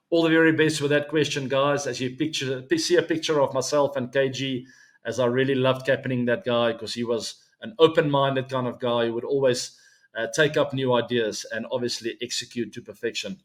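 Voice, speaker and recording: A man in his forties with a South African accent hosts his own cricket show, his pitch sitting right in the middle range for a man. He talks in a loose, friendly way, drops in plenty of ums, and turns to his viewers with asides. Afrikaans is his first language. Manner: chatty, relaxed, many ums and asides to viewers. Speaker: show host. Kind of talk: solo weekly cricket show.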